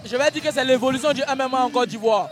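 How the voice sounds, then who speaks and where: A man co-commentating a live fight, emphatic and excitable, often repeating words. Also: very high voice, very fast, music in the background.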